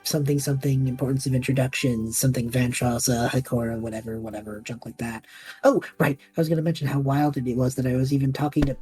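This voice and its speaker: A woman plays a young male student at a magic academy in an audio drama. The voice is deep for a woman, soft-edged and husky. He rambles and second-guesses himself, flustered and sarcastic, over background music. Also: steady tone, quick pace. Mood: flustered rambling. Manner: rambling, self-interrupting, flustered. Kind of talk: audio drama acting, monologue and banter.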